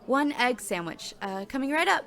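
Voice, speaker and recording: A woman's voice: sits high, very animated, normal speed, a bit bright, some chatter behind, heavily acted. A woman performing scripted audio drama narration as a nervous, overworked new coffee shop worker. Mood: flustered, polite. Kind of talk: scripted second-person narration.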